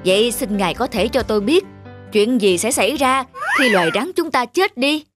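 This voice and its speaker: Woman acting cartoon snake, aging, plaintive, dramatic, high voice, music behind. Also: lively intonation, normal speed, lightly acted. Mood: anxious, humble. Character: aging venomous snake trying to be good, aggrieved. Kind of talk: animated fairy-tale character acting. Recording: music under the voice.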